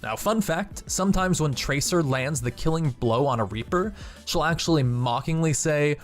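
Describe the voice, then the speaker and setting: A man's voice: on the high side, animated, normal speed. Man narrating a gaming video over music. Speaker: video game channel host. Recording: background music under voice.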